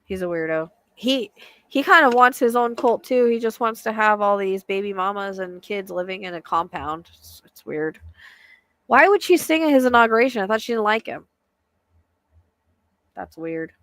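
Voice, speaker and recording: A woman's voice: mid-range voice, animated, normal speed, a bit bright, dry recording. A woman hosting a casual live chat as a psychic medium, with a soothing voice and a chatty, hesitant way of talking full of fillers.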